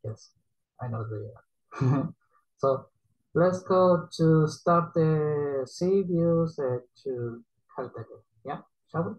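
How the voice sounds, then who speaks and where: A young man with a Japanese accent reacting to a comic dub in a small bedroom, talking in halting, broken English full of restarts. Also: on the high side, darkish tone, rough recording. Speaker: reaction streamer. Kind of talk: casual reaction commentary.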